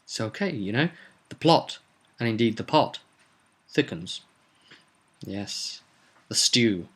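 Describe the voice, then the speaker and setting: A man telling the story of a tabletop roleplay session as an informal recap, in a halting, conversational way. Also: on the low side, animated, a bit bright, slightly rough.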